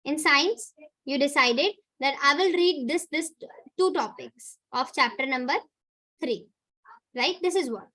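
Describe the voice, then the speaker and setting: A woman's voice: high voice, animated, a little slow, sharp tone, rough recording. A young woman teacher with an Indian English accent, giving students a chatty pep talk and checking in with "right?". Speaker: online teacher. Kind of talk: motivational talk to students in a live class.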